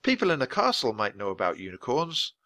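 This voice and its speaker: A man voicing an eager, bold young girl in an audiobook, speaking up high and excitedly. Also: a little fast, exaggerated voice.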